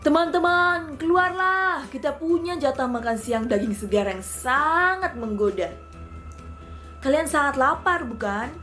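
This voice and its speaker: Woman voicing a gullible, animated storybook crocodile. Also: sits high, unhurried, faint music behind, pitched above the performer's own voice, heavily acted. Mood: eager calling. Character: gullible, hungry crocodile, grumpy but trusting. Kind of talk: children's storybook character voice.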